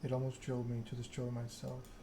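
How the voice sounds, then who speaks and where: A man voicing a proud, scheming vampire in a measured, self-important monologue, read aloud in an ASMR style from a game. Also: medium pitch, completely flat delivery, background noise, lightly acted. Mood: bitter, resolute. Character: proud, scheming vampire aristocrat telling their story.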